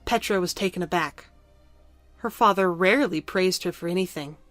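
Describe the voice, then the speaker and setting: A woman narrates a scripted fantasy story in a fairly low voice. The recording is dry, with faint music underneath. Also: moderate pace, a bit bright, no room sound.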